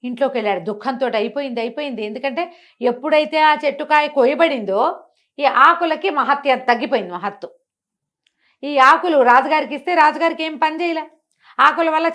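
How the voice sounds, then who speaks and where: Middle-aged female storyteller, fairly high voice, expressive and theatrical, acts out every character. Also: fairly quick. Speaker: storyteller guest. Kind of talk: animated storytelling in an interview.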